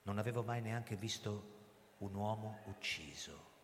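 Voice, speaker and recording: A male actor playing a grim, accused ex-police commissioner who narrates his own story in a radio drama, brooding and deliberate. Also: on the low side, natural voice. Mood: grimly determined. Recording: hall reverb, noisy live stage ambience.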